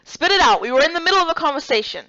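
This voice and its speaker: Stern fantasy-game leader played by a woman, high voice, haughty and dismissive, dry lo-fi sound. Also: big pitch swings, racing, sharp tone, dry recording, exaggerated voice. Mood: impatient, dismissive. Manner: curt, dismissive.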